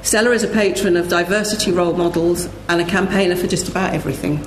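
Woman, panel chair, lowish voice, live introductions, echoey room, faint noise. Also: fairly even intonation, fast talker. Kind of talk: live event introduction, partly scripted. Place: indoor event room with audience.

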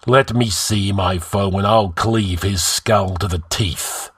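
A man's voice: lowish voice, slow pace, a bit bright, breathy edge, dry recording, clean studio sound, full character voice. A man voicing a proud, blunt and wary barbarian warrior king in a fantasy audiobook. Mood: wary, defiant.

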